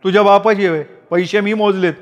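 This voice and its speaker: A man's voice: sits high, steady tone, fairly quick, big-room sound, dull audio, pitched above the performer's own voice, natural voice. A man voicing an angry older brother who argues in a raised, indignant voice. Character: angry older brother, village bank clerk.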